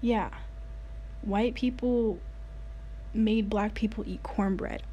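A woman's voice: medium pitch, fairly even intonation, takes it slowly, highs cut off, noisy background. A woman in her late teens from the United States, earnestly telling a personal story in a casual way, with many "like" fillers. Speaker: vlogger.

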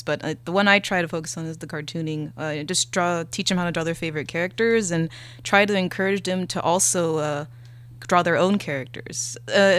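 Low-pitched young woman, casual interview guest. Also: fairly bright tone. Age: young adult. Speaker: guest, animator and illustrator.

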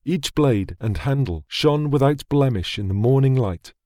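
A man narrating a fantasy audiobook in a measured, dramatic storytelling manner on a close studio mic. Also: medium pitch, animated, mellow, no room sound.

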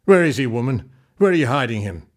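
A huge, foul-tempered giant booms out slow demands, as a male storyteller acts him out. The voice is loud and husky, swinging widely in pitch. He sounds like a bossy storybook monster. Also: sing-song. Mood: menacing. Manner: booming and demanding.

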